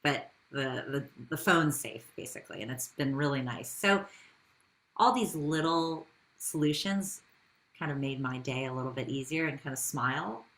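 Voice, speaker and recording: A woman's voice: rumbling low, animated, normal speed, fairly bright tone. A woman speaking as a business founder in an interview, telling her story in a conversational way with rhetorical questions.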